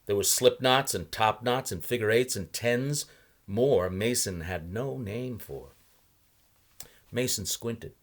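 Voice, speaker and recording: A man reading a story aloud as expressive scripted narration. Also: fairly low pitch, slow pace. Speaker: audiobook reader.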